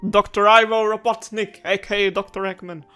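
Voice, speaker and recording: A young man with a high voice commentates casually on a video game. He rambles along, chatty and excitable, with faint music behind him. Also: brisk, clear, resonant tone. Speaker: gaming YouTuber.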